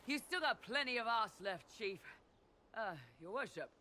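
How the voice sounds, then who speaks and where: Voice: medium pitch, expressive, moderate pace, bright, forceful tone, a little hiss or hum. A performer playing a cheeky, eager young mercenary lieutenant in a video game. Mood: teasing. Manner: cheeky, informal. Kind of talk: video game dialogue acting.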